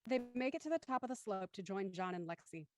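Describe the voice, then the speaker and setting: A woman's voice: mid-range voice, expressive, fast, no room sound. A woman reading scripted stage directions as the narrator of a drama.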